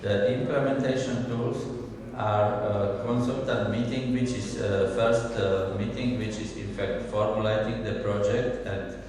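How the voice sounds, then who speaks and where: A man's voice: on the low side, steady tone, unhurried, darkish tone, far from the mic. Hesitant man in an echoey hall. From Slovakia. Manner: hesitant, many filler sounds. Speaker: conference speaker. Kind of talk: conference presentation. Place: large conference hall.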